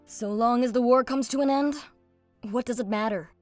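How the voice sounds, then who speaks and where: A woman's voice: fairly high pitch, normal speed, dry recording, faint music behind, lightly acted. A woman playing a cool, pragmatic female dragon queen in an audio drama, with a commanding manner. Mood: decisive.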